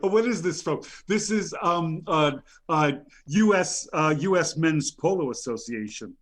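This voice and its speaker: Man, high voice, aggrieved rambling nominee, lots of stammers and ums. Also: lively intonation, measured pace. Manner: indignant, rambling, stammers with many fillers. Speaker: nominee on a comedy show. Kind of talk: improvised comedy rant.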